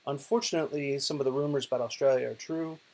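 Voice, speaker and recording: A man's voice: medium pitch, fairly even intonation, quick pace, a little mellow, poor audio. A middle-aged man from Oklahoma with an American accent, giving a casual, chatty video talk about his work from bed while ill, with many fillers and restarts. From Oklahoma, United States. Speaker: digital poet and professor. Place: bedroom, lying in bed. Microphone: self-recorded video.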